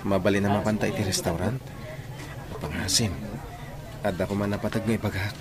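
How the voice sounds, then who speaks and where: Man acting a young seaman, lowish voice, earnest, reassuring, Ilocano accent, radio drama. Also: level tone, fairly quick, over a noisy crowd, natural voice. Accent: Ilocano Filipino. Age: young adult. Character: earnest young seaman, devoted son and suitor.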